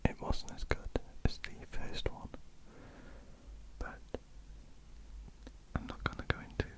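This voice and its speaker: A woman with a croaky voice, whispering ear to ear for an ASMR question-and-answer video. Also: takes it slowly, poor audio. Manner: soft unvoiced whisper with frequent ums. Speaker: ASMR artist. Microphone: ear-to-ear stereo mic.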